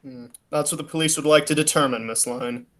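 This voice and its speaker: A woman's voice: deep voice, fairly quick, a crowd in the background, close to an everyday voice. A woman playing a firm, businesslike male detective in a 1940s-style radio drama. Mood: stern, controlled.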